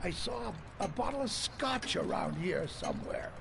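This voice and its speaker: A man voicing a forgetful, kindly old clock repairer in a video game, halting and courteous. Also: high voice, wildly swooping pitch, noisy background, full character voice. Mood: genial, wistful. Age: older. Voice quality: creaky, elderly voice.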